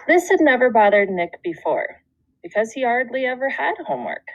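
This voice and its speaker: Female schoolteacher for a children's read-aloud: animated intonation, deliberate storytelling phrasing, dry room sound. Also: a little high, no room sound, dull audio. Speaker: second grade teacher.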